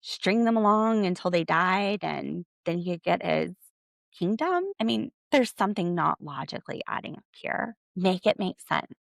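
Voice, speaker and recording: An American woman hosting a podcast, giving casual, opinionated commentary in an animated, sarcastic, slangy way. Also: fairly low pitch, normal speed, gravelly, no echo, studio quality. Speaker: podcast host.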